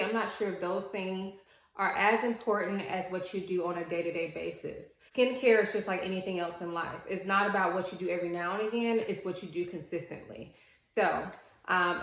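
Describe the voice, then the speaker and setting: A woman in her late thirties chatting casually to the camera as a beauty vlogger, explaining in a relaxed way with frequent ums and likes. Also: lowish voice. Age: 30s. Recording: echoey room.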